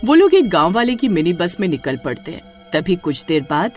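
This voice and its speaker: Lively dramatic storyteller. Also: mid-range voice, moderate pace, soft background music. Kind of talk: scripted dramatic story narration.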